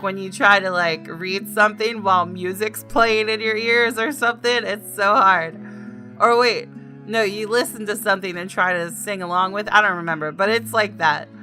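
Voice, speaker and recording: Bright-voiced woman hosting a game playthrough. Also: medium pitch. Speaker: gaming video host. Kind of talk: casual gameplay commentary and dramatic reading aloud.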